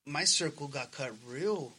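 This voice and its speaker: A man's voice: a little high, unhurried, bright, forceful tone, husky. A man in his thirties chatting casually as a podcast co-host, earnest and slangy, swearing freely. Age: 30s. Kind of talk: casual personal chat.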